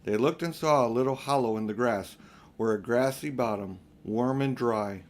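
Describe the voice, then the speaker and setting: Older man, storybook narrator, deliberate phrasing, medium pitch, reading for children. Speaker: audiobook reader for children.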